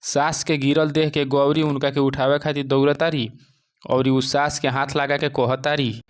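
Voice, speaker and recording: A man's voice: medium pitch, little pitch movement, moderate pace. Male narrator for a moral tale, Bhojpuri accent, clean resonant mid-range voice. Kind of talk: scripted moral-story narration.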